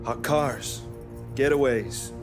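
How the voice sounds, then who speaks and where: A man's voice: mid-range voice, slow, lightly acted. A man playing a cheerful, shady mob mechanic in a crime game, chatty. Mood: breezy, admiring. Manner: chatty, breezy. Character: cheerful, shady mechanic proud of fast work. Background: loud music.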